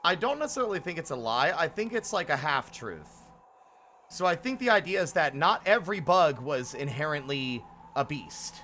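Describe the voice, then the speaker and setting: A man's voice: fairly high pitch, big pitch swings, moderate pace, sharp tone, a little hiss or hum. A man giving casual live gameplay commentary as a streamer, musing and explaining as he goes. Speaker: game streamer.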